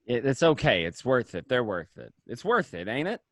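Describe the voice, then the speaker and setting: A man's voice: mid-range voice, normal speed, a little hiss or hum. A man playing a talkative, edgy gangster in a radio drama, with a conversational way of talking. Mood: placating. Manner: animated, conversational, repeats himself. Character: talkative, edgy male gangster recounting and scheming. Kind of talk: film script read as radio drama.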